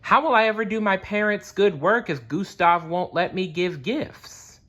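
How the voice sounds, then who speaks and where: A man's voice: sits high, normal speed, a bit bright, highs cut off, voice pushed up. A man voicing an earnest, emphatic young fisherman hero in a storybook read-aloud. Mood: dismayed.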